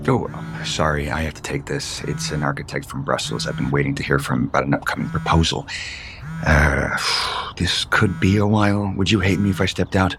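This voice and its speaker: A man's voice: rumbling low, fairly even intonation, fairly quick, music in the background. A male actor playing a guarded, conflicted adult son and unhappily married father in an audio soap opera. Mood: apologetic. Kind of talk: naturalistic soap opera acting.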